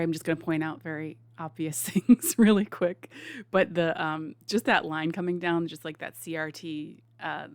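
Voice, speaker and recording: A woman's voice: sits low, no echo. Rambling, enthusiastic woman reacting to videos. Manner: rambling with many restarts, enthusiastic. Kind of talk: casual reaction commentary.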